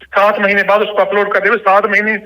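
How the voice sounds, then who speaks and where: Sharp, high-pitched Pakistani man, insistent. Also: monotone, a little fast, poor audio. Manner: insistent, emphatic, repeats phrases. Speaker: interview guest, journalist and vlogger. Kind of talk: spoken interview, explaining his side.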